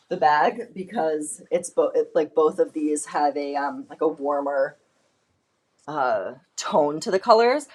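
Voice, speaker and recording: A woman with a slightly low voice talks in a chatty, emphatic, informal way, like a host of a live clothing try-on. Her voice is going a little from a lingering cold, and her delivery stays casual and conversational.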